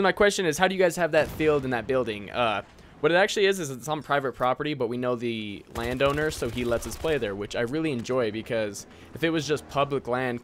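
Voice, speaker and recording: Young American man, casual, over noisy gunfire. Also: medium pitch. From United States. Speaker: gaming and airsoft YouTuber. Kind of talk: casual Q&A commentary, reading out viewer questions.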